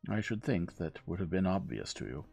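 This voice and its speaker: A man's voice: low-pitched, big pitch swings, normal speed, creaky, a little mellow, faint music behind, full character voice. Man voicing a haughty, venomous old society lady. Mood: biting disdain. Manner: icy, biting, disdainful. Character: haughty, venomous, wealthy old woman, frigid snob.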